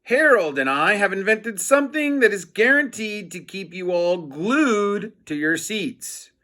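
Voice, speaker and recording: Cheeky storybook schoolboy, played by a man: eager, high voice, very animated, innocent act. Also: measured pace, exaggerated voice. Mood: showy.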